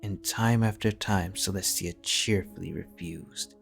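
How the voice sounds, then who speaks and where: A man narrating a fanfiction audiobook in a steady, measured storytelling voice. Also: low-pitched, gravelly, soft background music.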